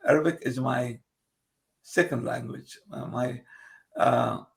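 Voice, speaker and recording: Male guest, Kurdish first language, unhurried and hesitant, slightly high gravelly voice. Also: fairly even intonation. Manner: halting, many pauses and fillers. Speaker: guest, translator. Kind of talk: casual interview conversation.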